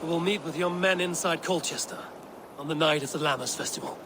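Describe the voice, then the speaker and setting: A woman playing a steadfast, commanding Viking warrior in a historical game, speaking in measured, blunt, formal phrases. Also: sits low, background noise, natural voice. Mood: firm, resolute. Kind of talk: dramatic period game acting.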